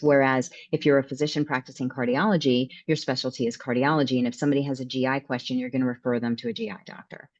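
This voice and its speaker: A woman's voice: very deep voice, steady tone, fast talker, few pauses, a little mellow, highs cut off. A woman hosting a webinar discussion, prompting her guest with follow-up questions and relaying audience comments. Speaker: host and interpreter.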